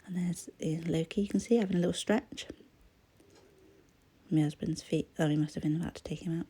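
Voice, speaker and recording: A croaky-voiced woman, chatting casually as she crafts, often trailing into a whisper. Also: sits low, fairly even intonation, brisk, mellow. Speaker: crafting vlogger. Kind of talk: casual voice-over chat while crafting.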